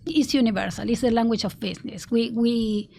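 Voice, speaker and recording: A woman's voice: a little high, a little fast, vocal fry. A woman from Argentina with an Argentine Spanish accent, a native Spanish speaker, giving earnest interview answers with many restarts. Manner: earnest, with frequent restarts and soft laughs. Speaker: marketing executive guest.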